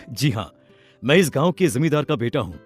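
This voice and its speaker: A man playing an earnest, emphatic young villager in an animated story. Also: medium pitch, normal speed, slightly rough. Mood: polite, friendly. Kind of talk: animated Hindi moral story acting. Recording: music behind the voice.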